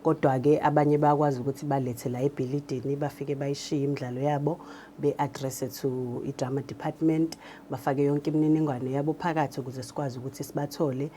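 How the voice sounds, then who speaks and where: A woman's voice: low voice, fairly even intonation, fairly quick, dark tone, slight background noise. A South African woman, a native Zulu speaker, explaining her work as a drama producer in an interview. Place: radio drama studio.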